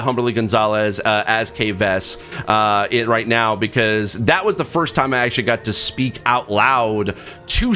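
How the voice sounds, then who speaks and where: A man speaks as the host of his own video, telling a personal story at an ordinary pitch. Faint music plays behind his voice. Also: sharp tone. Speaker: host, video creator and voice actor. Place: office, own recording setup.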